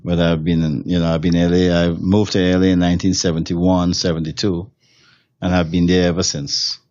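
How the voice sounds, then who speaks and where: A very deep-voiced Trinidadian man speaks in a hotel room. He answers questions in a loose, rambling way with lots of fillers. The recording is dry and slightly dull. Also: little pitch movement, brisk, a little mellow, dry recording. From Trinidad and Tobago. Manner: rambling, many fillers and restarts. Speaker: steel drum musician, interview guest. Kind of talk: relaxed interview answers.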